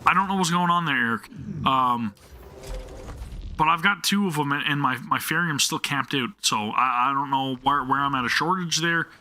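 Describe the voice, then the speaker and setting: A man's voice: fairly high pitch, moderate pace, bright, forceful tone. A man live-streaming a game, talking to viewers and thinking aloud in a casual way, often questioning himself.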